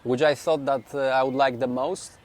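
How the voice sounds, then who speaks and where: A young man from Poland with a Polish accent, a native Polish speaker, chatting in English in a relaxed, thoughtful way while walking outdoors. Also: mid-range voice, moderate pace. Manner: relaxed, thoughtful, with many uhs. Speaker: guest, local resident. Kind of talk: casual conversation while walking. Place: outdoors on a residential street.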